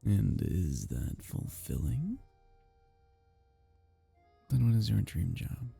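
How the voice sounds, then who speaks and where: A man acting a geeky, awkward male kitsune on a blind date in an ASMR roleplay, halting, stammering and apologetic. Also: fairly low pitch, sing-song, vocal fry, warm tone, husky, faint music behind, heavily acted. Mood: shy, curious.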